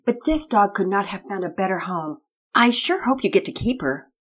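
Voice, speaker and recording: Friendly neighbor lady, read by a woman, polite, chatty, lively pitch. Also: normal speed, husky. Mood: sympathetic.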